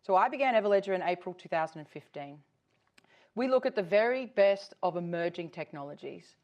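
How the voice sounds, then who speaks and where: A middle-aged Australian woman speaks at a conference as an executive guest. Her voice sits a little low for a woman, and she delivers her material as a presentation to an industry gathering. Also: animated, brisk, darkish tone, highs cut off.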